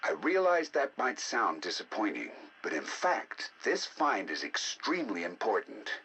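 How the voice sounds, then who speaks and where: An actor playing a learned, eager researcher, narrating game audio logs in a measured, articulate, intrigued manner. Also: male voice, medium pitch, sing-song, sharp tone, a little hiss or hum, full character voice. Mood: fascinated, eager. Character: learned male researcher, eager and fascinated by alien ruins.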